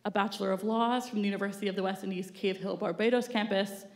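A woman's voice: medium pitch, fairly even intonation, fast. A woman at a podium reading formal speaker introductions on a theater stage. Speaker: event host introducing speakers. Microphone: podium mic. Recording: roomy theater sound.

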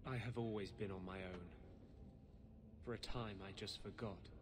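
An actor playing an intense, secretive rebel mage in a video game, speaking in a grave, earnest, insistent way. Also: male voice, fairly low pitch, steady tone, unhurried, background noise, close to an everyday voice. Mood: bitter. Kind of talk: dramatic video game acting. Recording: game audio with clear background ambience.

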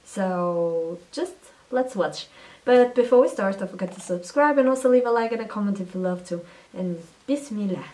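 A woman from Portugal hosting a reaction video, greeting viewers in a slow, friendly way with small pauses. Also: mid-range voice, animated, recorded from a distance, background noise. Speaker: YouTube reaction host. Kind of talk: casual vlog intro. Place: indoor room at home.

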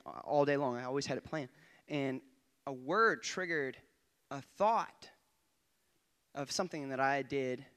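A young man preaches his testimony from a church stage into a handheld microphone. His voice sits a bit high and comes through smooth and clear. He talks with restless energy, dropping to soft asides and then surging loud with laughter between lines. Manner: excitable, laughing, swings from quiet to loud. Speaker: guest preacher. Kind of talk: testimony sermon. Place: church stage before a congregation.

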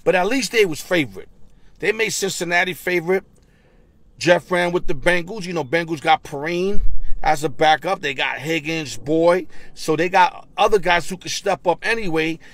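A middle-aged man hosting a casual NFL recap from his parked car, speaking into a phone at arm's length. He reads stats bluntly and fast, with slang and stammered repeats. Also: a little high, a bit bright, dry recording. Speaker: sports betting show host. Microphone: phone held at arm's length.

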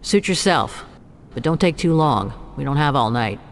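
An actress playing a commanding, knowing companion who urges haste in a video game cutscene, speaking in clipped phrases. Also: female voice, low voice, expressive, normal speed, long reverb tail, slight background noise. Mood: impatient, knowing. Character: commanding companion urging haste in vampire drama.

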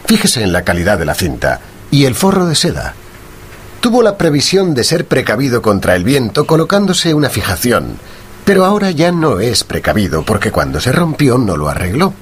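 Un actor interpreta a un detective brillante y seguro, didáctico y preciso, en una radionovela. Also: male voice, mid-range voice, huge pitch swings, moderate pace, husky. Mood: confident, amused. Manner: didactic, assured, precise. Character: brilliant, confident male detective, teasing and methodical. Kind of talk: Spanish-language radio drama dialogue.

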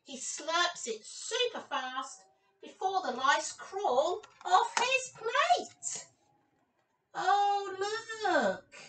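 A woman in her fifties giving an expressive storytime read-aloud for young children, with exclamations and chatty asides. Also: extremely high pitch, very slow, far from the mic, slight background noise, low-quality recording. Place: small room at home, indoors.

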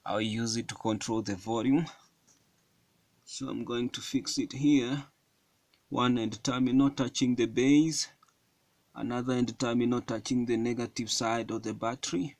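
A man giving a hands-on electronics tutorial at a table, explaining each step slowly with frequent fillers. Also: medium pitch, fairly even intonation, a little mellow, dry recording. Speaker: electronics hobbyist demonstrating a circuit. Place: indoors at a wooden table.

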